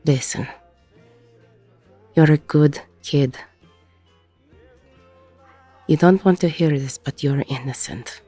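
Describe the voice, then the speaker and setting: A woman playing a weary, protective middle-aged ex-con bar owner in an intimate audio roleplay, with a husky, gravelly voice. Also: deep voice, measured pace, warm tone, dry recording, soft background music. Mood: stern, protective.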